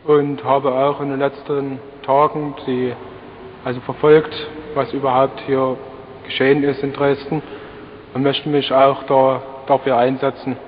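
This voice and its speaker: Flat-voiced young man, church echo. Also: mid-range voice, slight background noise. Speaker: locksmith, assembly speaker. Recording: echoey church hall, dull 1989 tape.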